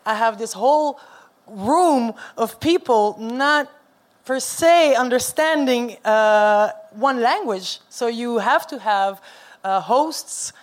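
A woman's voice: on the high side, animated, takes it slowly. Female, informal and conversational panel speaker. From Antwerp, Belgium. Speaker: event organiser and spoken-word poet on a panel. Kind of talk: casual spoken discussion.

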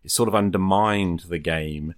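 A man with a low-pitched voice, recorded dry and close, chats in a reflective, informal way. He thinks aloud as he goes, letting his points build in loose conversational phrases. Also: normal speed, no echo. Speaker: podcaster and tabletop game master. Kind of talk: casual, reflective chat about tabletop gaming.

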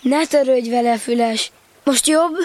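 A woman playing a kind, earnest young boy in a children's audio drama, speaking with gentle care. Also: on the high side, lightly acted. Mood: gentle concern.

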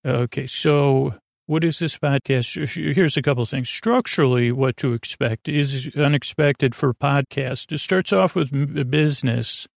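A man hosting a bedtime podcast in a halting, meandering monologue that keeps drifting into tangents, with a creaky, gravelly voice. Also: medium pitch, dry recording, clean studio sound. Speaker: podcast host.

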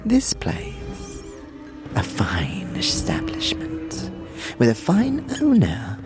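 A man voicing a short, rotund older tavern drinker in an audio drama, soft and breathy, with halting, pompous, poetical speech. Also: mid-range voice, huge pitch swings, whispered, over loud music. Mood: genial, flowery.

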